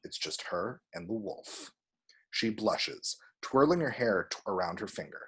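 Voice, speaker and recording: A man reading screen directions aloud as expressive scripted narration. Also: on the low side, a little slow, creaky, breathy edge. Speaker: reader of action descriptions.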